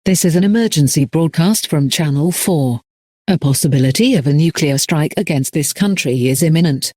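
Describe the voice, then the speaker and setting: A man delivers a scripted emergency announcement as a broadcast announcer. His voice sits a little higher than most men's. He speaks formally and with measured phrasing, in a very dry sound with no room around it. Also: a little mellow, no echo.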